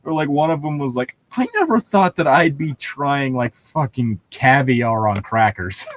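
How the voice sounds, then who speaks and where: A man's voice: mid-range voice, animated, measured pace. A man bantering casually as a co-host, jokey and opinionated, swearing freely. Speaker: co-host reacting to a fan fiction reading.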